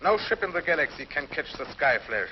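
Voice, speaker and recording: A man's voice: fairly high pitch, big pitch swings, a little fast, a little hiss or hum. An actor playing a gloating, ruthless escaped convict in a 1950s TV drama, taunting his captives. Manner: taunting, sneering. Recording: dull lo-fi 1950s TV soundtrack.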